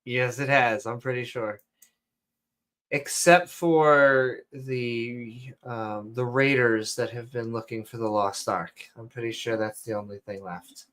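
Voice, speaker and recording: A man co-hosting a casual late-night podcast, rambling and jumping between topics, his voice going hoarse. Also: mid-range voice, fairly even intonation, takes it slowly, fairly bright tone, a little hiss or hum. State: losing his voice. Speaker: podcast co-host.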